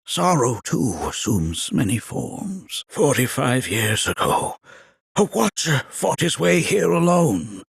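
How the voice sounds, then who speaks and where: An ancient, kindly village elder for a video game. His husky, creaking voice swoops dramatically up and down. He speaks in formal, old-fashioned phrases, as a wise mentor telling solemn tales. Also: mid-range voice, sing-song, no echo, clean studio sound, heavily acted. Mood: solemn, explaining. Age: older. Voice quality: raspy, creaky. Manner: formal, archaic, measured storytelling with dramatic flourishes. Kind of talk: theatrical video game voice acting.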